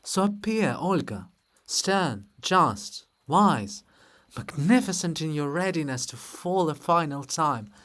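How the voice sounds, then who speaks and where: A man's voice: on the high side, lively intonation, slow pace, a bit bright. A man voicing a bold, sly, devoted sister in a theatrical, teasing, insistent stage reading. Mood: exalted. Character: Sister Lybid, bold, sly, devoted female river spirit.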